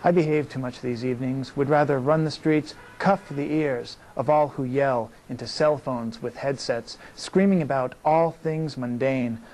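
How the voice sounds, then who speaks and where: A man's voice: mid-range voice, darkish tone, slight background noise, rough recording. A man reciting poetry steadily as a writer at a reading, in long running lines. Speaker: poet reading own work.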